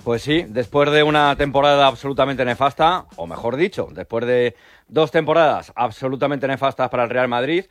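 A man reporting on sport for the radio, his delivery lively and running on through interruptions. The studio sound is dry, with no echo, and his pitch sits in a middle range. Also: fairly quick. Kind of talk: radio sports segment, casual chat.

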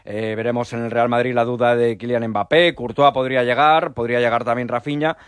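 A man reporting on sport for the radio, his delivery lively and running on through interruptions. The studio sound is dry, with no echo, and his pitch sits in a middle range. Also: brisk, clean tone. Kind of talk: radio sports segment, casual chat.

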